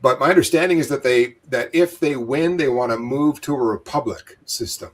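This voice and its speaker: A man's voice: mid-range voice, moderate pace. A man from western Canada co-hosting a casual live town hall, reading viewer comments and hedging his points with "you know.". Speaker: livestream co-host.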